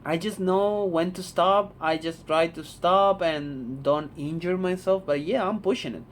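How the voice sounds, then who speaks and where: A man's voice: high voice, unhurried, slight background noise. Blunt young Argentine man. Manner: blunt, repeats "man", swears freely. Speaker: vlogger. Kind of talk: casual talking-head monologue. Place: home room.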